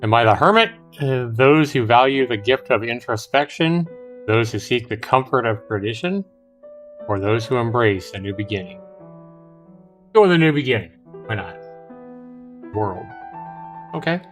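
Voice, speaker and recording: A middle-aged man hosts a live game stream in a casual, conversational way. His voice sits at an average pitch, with music playing underneath. He moves between chatting to viewers and reading on-screen text aloud. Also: expressive, moderate pace, long pauses, a bit bright. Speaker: live streamer, show host.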